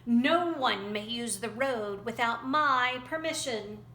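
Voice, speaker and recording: A furious storybook rattlesnake as a woman acts him out, boastful and hissing his commands. The voice is forceful and raised, with room echo around it. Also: a little high, lively intonation, slow pace, full character voice. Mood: menacing. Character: bullying, boastful male rattlesnake, furious and menacing. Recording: roomy echo, faint background noise.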